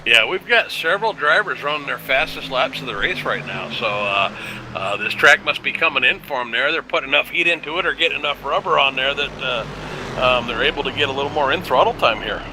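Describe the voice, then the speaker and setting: A man giving live color commentary on a race, analyzing calmly with frequent hesitant fillers. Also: medium pitch, a little fast, noisy background.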